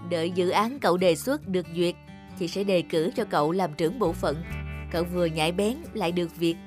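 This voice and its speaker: A woman's voice: on the low side, a little fast, faint music behind, natural voice. A woman voicing a friendly, confident female office manager in a cartoon drama. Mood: friendly, brisk.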